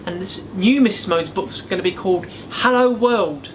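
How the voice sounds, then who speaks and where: A man reading his own picture book aloud as the author, in an expressive storytelling style for children. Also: sits high, moderate pace. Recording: faint background noise.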